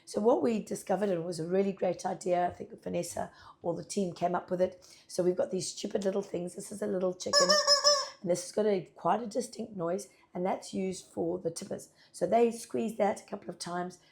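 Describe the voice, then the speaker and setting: A woman, husky and a little croaky from a cold, chatting upbeat through a farm vlog as labour manager. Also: mid-range voice, steady tone, brisk, dark tone, a little hiss or hum. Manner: chatty, upbeat, many ums. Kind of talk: casual informative vlog. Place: pack house office.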